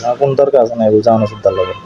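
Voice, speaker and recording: Fast-talking Bengali man on a muffled call. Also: lowish voice, music in the background. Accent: eastern Bengali dialect. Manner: argumentative, repeats phrases insistently. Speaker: YouTube video creator. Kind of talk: casual domestic argument on a call. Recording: dull lo-fi phone call recording, faint noise.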